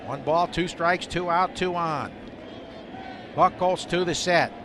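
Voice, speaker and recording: Higher-pitched male baseball announcer, noisy ballpark. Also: slow. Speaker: baseball play-by-play radio announcer. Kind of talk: live sports commentary. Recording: noisy live ballpark radio broadcast. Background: stadium ambience and noise.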